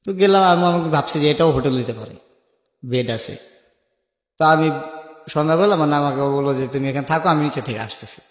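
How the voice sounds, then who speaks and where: A man's voice: on the high side, brisk, pauses often. A man with a Bangladeshi accent telling a personal life story conversationally, acting out remembered dialogue as he goes. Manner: acts out remembered dialogue while narrating. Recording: echoey with long reverb.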